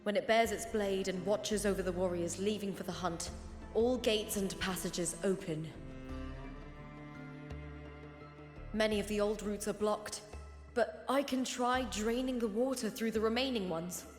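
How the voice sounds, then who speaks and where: An actress voicing a resolute, purposeful young woman warrior in a video game, speaking in a measured, determined way. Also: medium pitch, lightly acted. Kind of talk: scripted game dialogue, thinking aloud. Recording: echoey hall reverb under game music.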